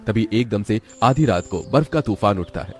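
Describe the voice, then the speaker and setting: A man's voice: lowish voice, lively intonation, normal speed, faint music behind. A man narrating a scripted children's moral story in a dramatic way.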